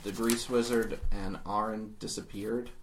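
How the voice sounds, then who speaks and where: A man running a tabletop game, chatting casually with joking asides and hesitant pauses, and narrating scenes. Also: on the low side, fairly even intonation, poor audio. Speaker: game master. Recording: faint background noise.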